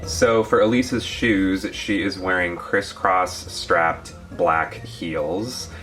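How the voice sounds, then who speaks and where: A man co-hosting a review channel, chatting casually in a measured, appreciative, conversational way about a product. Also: sits low, fairly even intonation, fairly bright tone, faint music behind. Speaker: doll review channel co-host.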